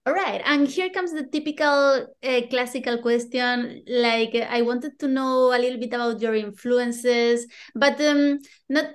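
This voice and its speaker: A woman's voice: on the high side, fairly even intonation, measured pace, hardly any pauses. A woman hosting a casual podcast interview, asking questions in a friendly, hesitant way with many fillers. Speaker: podcast host.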